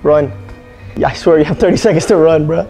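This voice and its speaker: A man's voice: a little high, fairly quick, music in the background. A man in his twenties hosting a casual, hyped-up vlog, joking around with slang and swearing. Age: 20s. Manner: boisterous, slangy, profane, joking. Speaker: prank vlogger, channel host.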